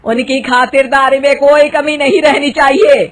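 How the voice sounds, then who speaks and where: A woman's voice: high voice, fairly even intonation, measured pace, voice pushed up. A woman voicing a bossy, sarcastic middle-aged mother-in-law in a cartoon story, taunting and giving orders. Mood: stern, bossy. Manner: taunting, commanding.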